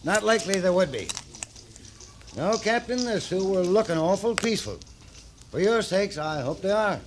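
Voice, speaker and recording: High-voiced folksy frontier scout. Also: male voice, lively intonation, moderate pace. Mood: wary. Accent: American. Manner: clipped, folksy. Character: plain-spoken, wary frontier army scout. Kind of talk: 1950s Western radio drama acting. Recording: noisy 1950s radio recording.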